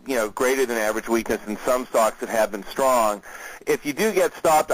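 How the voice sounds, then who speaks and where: A man giving unscripted market commentary as an analyst on a client call, talking in a measured, conversational way with frequent hesitant fillers. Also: medium pitch, no room sound, low-quality recording. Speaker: market analyst, host of a weekly conference call.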